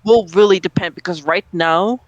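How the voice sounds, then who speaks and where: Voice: mid-range voice, normal speed, dry recording, highs cut off. A podcast co-host chatting casually, with an informal, teasing, sometimes sweary way of talking. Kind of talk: casual chat.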